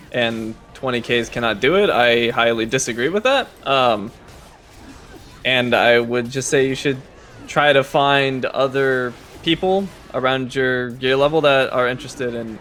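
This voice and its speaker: A hoarse man with a raspy voice, a game producer chatting casually as a guest, hesitant and often restarting his sentences. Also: mid-range voice, fairly bright tone, slight background noise. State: hoarse from a cold. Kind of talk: casual chat while playing.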